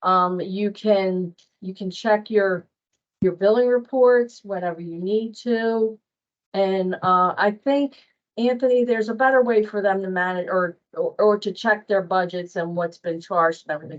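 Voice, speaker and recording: A woman leads staff through a procedure on a dull, lo-fi online call. Her voice sits at a middle pitch. She explains things plainly and conversationally, in a halting, informal way. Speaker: staff trainer on invoicing. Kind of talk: informal training walkthrough. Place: online meeting with screen share. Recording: muffled lo-fi online meeting audio.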